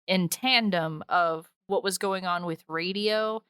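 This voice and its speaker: A woman's voice: fairly low pitch, slow, fairly bright tone, clean tone, no room sound, clean studio sound. A woman co-hosting a podcast in casual chat, telling things informally and interrupting herself as she goes. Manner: informal, self-interrupting storyteller. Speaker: podcast co-host. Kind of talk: casual chatty podcast talk.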